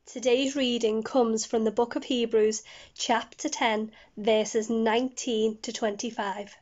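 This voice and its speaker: High-voiced woman reading scripture slowly. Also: steady tone, darkish tone, muffled recording. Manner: measured, deliberate phrasing. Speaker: Bible reader.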